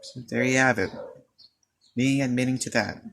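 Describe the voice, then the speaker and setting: A teenage Filipino man telling a personal story in a hesitant, halting way, with many fillers and restarts. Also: medium pitch, steady tone, gravelly, noisy background. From Philippines. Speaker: student. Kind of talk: personal confession monologue.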